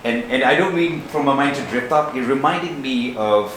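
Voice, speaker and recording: A man's voice: mid-range voice, moderate pace. Enthusiastic man in a roomy room. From Hawaii. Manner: enthusiastic, animated storyteller. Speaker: podcast host. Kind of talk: casual interview conversation. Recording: roomy room with faint noise.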